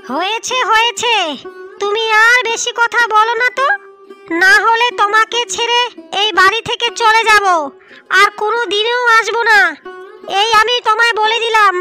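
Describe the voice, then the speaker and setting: A scheming cartoon stepmother acted out by a woman, extremely high and sharp. She is a defensive village wife who protests insistently, with music underneath. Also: little pitch movement, moderate pace. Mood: angry. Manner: insistent, repetitive pleading and protesting. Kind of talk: cartoon fairy-tale dialogue.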